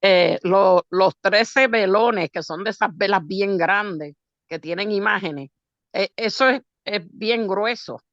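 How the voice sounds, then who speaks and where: Woman, Puerto Rican accent, unscripted testimony storytelling, dry and slightly muffled low-fidelity sound. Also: slow, a bit bright, dry recording. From Puerto Rico. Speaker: pastor's wife giving testimony. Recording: dull lo-fi audio.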